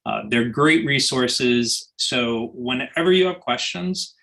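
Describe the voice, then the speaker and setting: A man speaking as an assistant dean, giving a relaxed welcome talk to new students with many small fillers over a video call. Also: mid-range voice, slow, creaky, low-quality recording.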